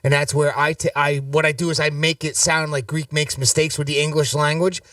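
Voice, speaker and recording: A man's voice: fairly high pitch, level tone, brisk, a bit bright, no room sound, studio quality, far from the mic. A man hosting a radio show, chatty and upbeat, running promos and segments. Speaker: radio host. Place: radio studio.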